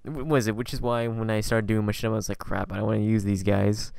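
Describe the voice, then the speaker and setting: A man's voice: lowish voice, flat delivery, fast talker, vocal fry, a little mellow, dry recording, clean studio sound. A man hosting a podcast, chatting casually and telling anecdotes in an informal, slangy way. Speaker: podcast host.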